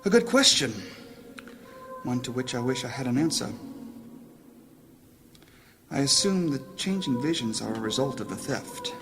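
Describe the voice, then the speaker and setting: A man acts out a grave wizard lord burdened with worry in a fantasy audio drama. His mid-range voice is formal and deliberate, with a solemn weight. Music plays clearly behind him. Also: mid-range voice, lively intonation, natural voice. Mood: grave, troubled. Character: grave, anxious wizard council leader in a high fantasy tale.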